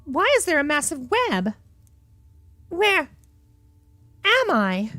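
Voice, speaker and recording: Woman acting a high, frantic captive woman. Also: sing-song, slow pace, pushed and bright, no echo, exaggerated voice. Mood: panicked. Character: panicked drugged female captive narrating her escape.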